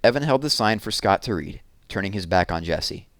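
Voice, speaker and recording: A man reading a children's novel aloud with expressive storytelling. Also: lowish voice, a little fast, dry recording, clean studio sound. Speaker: reader.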